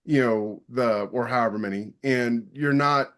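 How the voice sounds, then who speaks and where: A man's voice: medium pitch, a little slow, a bit bright. A man speaking informally and emphatically as a podcast host, rephrasing his points as he goes in a casual interview discussion.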